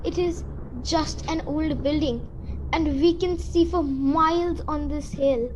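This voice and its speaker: A woman playing a sensible, bossy girl in a student radio play, brisk and scolding. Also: high-pitched, steady tone, slight background noise, low-quality recording. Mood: impatient, scolding. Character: sensible, bossy girl hiker, practical and dismissive. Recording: loud music over the voice.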